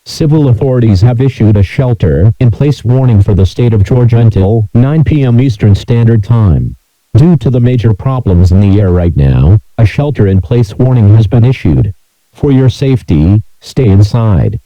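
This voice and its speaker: A man's synthesized voice reading scripted emergency alert messages in a flat, measured, robotic delivery. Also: on the low side, dry recording, rough recording.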